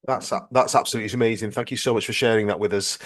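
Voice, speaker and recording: A man's voice: fairly low pitch, steady tone, racing, a bit bright. A man interviewing a guest on a podcast, polite and effusive, feeling his way through questions. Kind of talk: casual interview chat.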